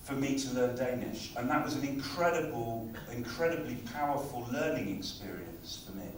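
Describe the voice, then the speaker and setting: A man giving a conference talk on language teaching, speaking in a lively, conversational way. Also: mid-range voice, moderate pace, a little hiss or hum. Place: conference hall. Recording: very echoey hall, far from mic.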